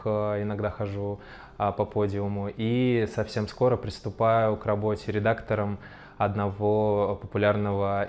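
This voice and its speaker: A man born in Moscow who works as a TV producer and model, answering matter-of-factly in a documentary interview. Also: on the low side. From Moscow, Russia. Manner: brisk and matter-of-fact, listing his work with a few "uh" fillers.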